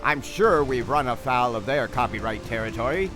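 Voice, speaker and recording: A man's voice: medium pitch, lively intonation, moderate pace, music in the background, close to an everyday voice. A man playing a blustering, easily alarmed pirate captain with theatrical pirate talk in a comedy skit.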